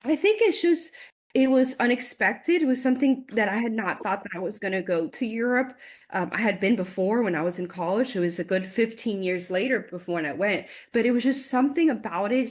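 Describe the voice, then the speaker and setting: Animated, chatty woman in her forties. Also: mid-range voice, fairly quick. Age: 40s. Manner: animated, laughs often, repeats words when excited. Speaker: guest. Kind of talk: casual interview chat.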